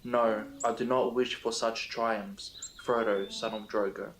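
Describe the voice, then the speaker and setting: A man's voice: lowish voice, level tone, unhurried. A man reads as a proud male captain, grave and earnest, in a level voice that barely rises or falls. A faint hiss runs behind this storybook reading.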